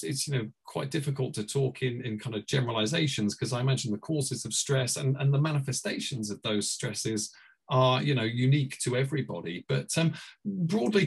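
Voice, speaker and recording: A man hosting an informal interview, asking softened, wordy questions in a tentative manner. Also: mid-range voice, creaky, slightly rough. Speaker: host, interviewer.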